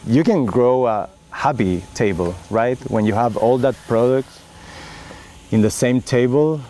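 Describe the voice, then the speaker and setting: A middle-aged Chilean winemaker with a Chilean Spanish accent, a native Spanish speaker, speaking earnestly in an oral history interview with many fillers and restarts. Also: male voice, mid-range voice, measured pace, dark tone, a little hiss or hum.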